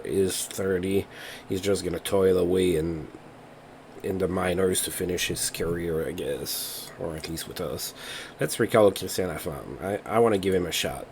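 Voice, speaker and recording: A man with a somewhat low voice hosts a relaxed let's play, reading through results and weighing choices out loud. English is not his first language, and he talks in an easygoing, unhurried way. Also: slight background noise. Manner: thinks aloud while reading game results. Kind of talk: casual gameplay commentary.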